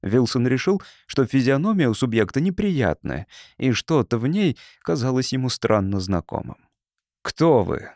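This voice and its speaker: A man narrates a humorous science fiction story as an audiobook. His reading is dramatised and lively, with widely moving intonation. The sound is close and dry. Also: medium pitch, normal speed, hardly any pauses, dry recording.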